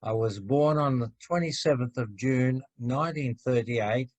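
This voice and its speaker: A man's voice: mid-range voice, a little slow, darkish tone, no echo. A man in his eighties from Slovakia giving frank personal testimony over a video call, stumbling on repeats. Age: 80s. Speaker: Holocaust survivor interviewee.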